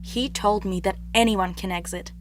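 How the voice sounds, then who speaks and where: A young princess of Greek tragedy, played by a woman, wistful yet proud and defiant. She tells her tale in measured, poetic stage monologue at a medium pitch, with soft music beneath. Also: fairly even intonation, close to an everyday voice. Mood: reflective. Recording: music under the voice.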